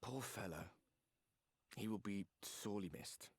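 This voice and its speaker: An actor playing a courteous, composed warrior in a fantasy game, with a formal, wry manner. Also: male voice, fairly low pitch, unhurried, breathy edge, no room sound. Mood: solemn. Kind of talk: dramatic fantasy video game acting.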